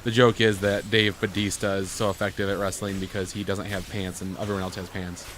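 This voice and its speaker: Low-voiced man bantering over a game, noisy recording. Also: little pitch movement, a little fast. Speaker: gaming video co-host and player. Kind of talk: casual banter while playing a game. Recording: noisy home gameplay recording.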